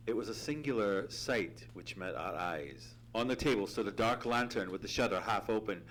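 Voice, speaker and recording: Doctor Watson, earnest, suspenseful radio narrator. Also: male voice, on the low side, fairly bright tone, a little hiss or hum, low-quality recording. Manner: theatrical, suspenseful, formal storytelling. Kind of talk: old-time radio dramatic storytelling. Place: theatre stage, live radio play.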